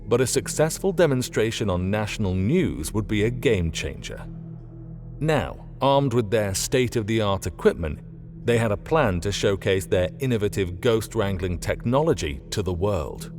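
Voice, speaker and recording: A man reading a scripted story as an audiobook narrator, in an expressive storytelling manner. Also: lowish voice, normal speed, faint music behind.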